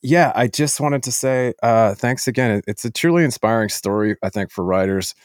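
A man hosting a casual interview, speaking in a halting, appreciative way to his guest. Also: mid-range voice, clean tone, no echo, clean studio sound. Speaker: podcast host.

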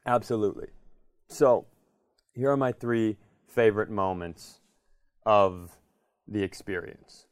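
A man's voice: fairly low pitch, slow, long pauses, smooth, no echo, studio quality. A middle-aged American man co-hosting a podcast, telling comic stories casually and emphatically, repeating phrases for effect. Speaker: podcast co-host. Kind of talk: animated comedic storytelling in casual chat.

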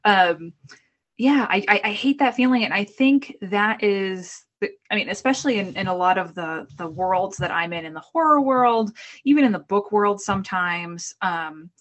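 A woman from the Boston area with a Boston accent, an author chatting casually in an interview over a video call, self-deprecating and quick to restart her sentences. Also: mid-range voice, lively intonation, dull audio. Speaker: author guest.

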